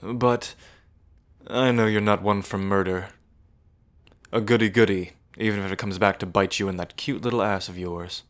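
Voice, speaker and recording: A man's voice: fairly low pitch, steady tone, moderate pace, natural voice. A man playing a smug, menacing evil prince in an ASMR roleplay, mocking and condescending, drawling like a theatrical villain. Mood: smug mockery.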